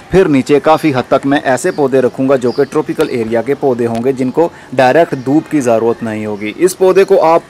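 A man's voice: medium pitch, a little mellow. Man giving a gardening tutorial, outdoor noise. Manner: explanatory, instructing the viewer step by step. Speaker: gardening channel host. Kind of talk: informal how-to gardening tutorial narration.

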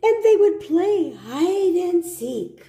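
A woman reading a children's storybook aloud, with simple, deliberate phrasing and short asides for young listeners. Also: extremely high pitch, sing-song, dark tone, roomy sound. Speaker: storybook reader. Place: indoor room at home.

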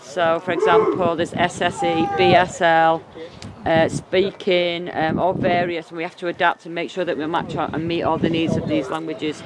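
A woman's voice: fairly low pitch, flat delivery, normal speed. Woman interpreter voicing players outdoors. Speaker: sign language interpreter voicing deaf players and coach. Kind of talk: first-person voice-over interpreting. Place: outdoors at a football pitch. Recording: loud outdoor noise.

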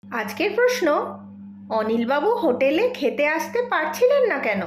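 A woman's voice: sits high, very animated, moderate pace, slight background noise. A woman reading a scripted quiz announcement in an echoey voice-over.

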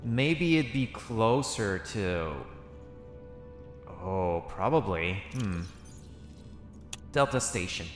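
A man's voice: on the low side, expressive, very slow, pauses often, soft background music. Man musing aloud over a survival game. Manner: thinking aloud to himself, musing and hesitant. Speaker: roleplay gaming channel host. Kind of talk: in-character survival game roleplay.

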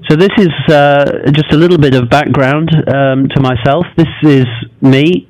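A man's voice: a little high, steady tone, unhurried, darkish tone, rough recording. A man, giving an academic lecture at a desk microphone, hesitant and self-deprecating. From Singapore. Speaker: guest lecturer.

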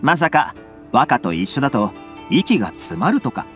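A man's voice: mid-range voice, sing-song, moderate pace, music in the background, lightly acted. A man playing a young loyal retainer in a video game, friendly, earnest and easygoing, with casual, reassuring phrasing. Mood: teasing, reassuring. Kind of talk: Japanese game voice acting.

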